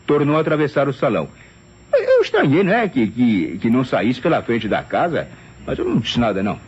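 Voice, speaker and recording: A flustered, animated secretary giving testimony. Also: male voice, medium pitch, brisk, husky. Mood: flustered recounting. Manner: hesitant, stammering, tag questions, animated storytelling. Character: male private secretary, flustered, chatty, deferential witness. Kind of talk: old radio mystery acting. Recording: dull, noisy old radio drama recording.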